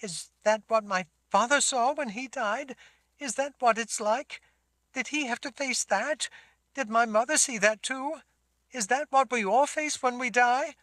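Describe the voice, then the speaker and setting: A man's voice: very high voice, a little slow, fairly bright tone, no room sound, pitched above the performer's own voice. A man voicing a frightened, tearful young sorceress girl who sobs and pleads. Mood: anguished. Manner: sobbing, pleading questions.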